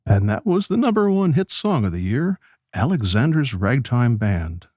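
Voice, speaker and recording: An actor playing a showy 1911 radio host who announces and moderates a debate in a radio play. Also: male voice, medium pitch, sing-song, normal speed, a little mellow, no echo, studio quality. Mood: upbeat, showy. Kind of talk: old-time radio show hosting.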